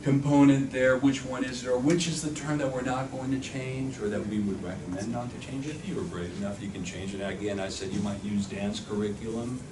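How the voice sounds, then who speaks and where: A man leads a classroom workshop. He poses question after question and often answers them himself. He sounds far from the microphone, with a roomy echo and steady noise behind him. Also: mid-range voice, a little fast, few pauses. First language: Spanish. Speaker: workshop instructor. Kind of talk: classroom lecture. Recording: echoey room from far off, background noise.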